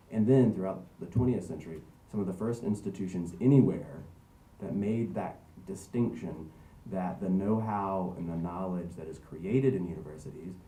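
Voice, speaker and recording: A man's voice: low voice, warm tone. A man giving a public talk, reading a passage of law aloud and then explaining it with frequent ums and tag questions. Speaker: panel speaker. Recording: roomy hall, distant mic, faint noise.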